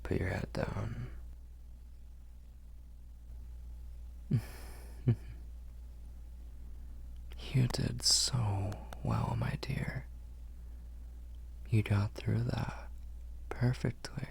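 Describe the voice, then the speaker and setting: Casting: male actor as refined, protective vampire lord for ASMR roleplay; very low, breathy, courtly and soothing. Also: full character voice. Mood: tender, soothing. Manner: slow, soothing, formal and courtly phrasing. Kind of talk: ASMR roleplay, intimate whispering and murmuring.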